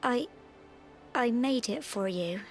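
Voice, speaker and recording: A woman playing a tender, earnest young village woman in a video game, speaking in a gentle, heartfelt, slightly hesitant way. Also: fairly high pitch, slow pace, faint music behind. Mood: tender, worried. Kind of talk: scripted video game voice acting.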